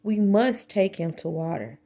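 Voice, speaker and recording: A woman's voice: mid-range voice, expressive, unhurried, very noisy. A woman voicing an anxious little boy from a storybook, earnest and pleading in exclamatory phrases. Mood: urgent. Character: anxious little boy who loves his pet fish.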